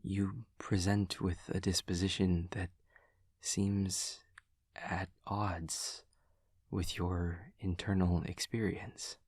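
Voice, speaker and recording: Pria memerankan iblis muda canggung bersuara rendah serak. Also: male voice, low-pitched, level tone, husky, dry recording, studio quality, exaggerated voice. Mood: gentle, halting reassurance. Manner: halting, stammering, formal and overly literal. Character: awkward, earnest male demon classmate who senses emotions. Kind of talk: scripted audio roleplay to the listener.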